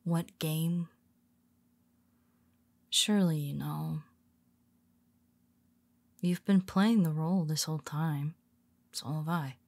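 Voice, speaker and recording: A woman playing a weary, teasing female superhero who speaks softly to her nemesis, often dropping to a whisper. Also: low-pitched, fairly even intonation, slow, gravelly, pauses often, no room sound, exaggerated voice. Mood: wry teasing. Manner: teasing, soft-spoken, slips into whispers. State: tired. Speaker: audio roleplay performer. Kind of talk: intimate audio roleplay.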